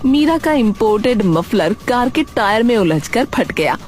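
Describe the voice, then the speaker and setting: A woman narrates a cartoon story from a script. Her slightly high voice moves up and down a lot, over a light music bed. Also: sing-song, a little slow, a little mellow.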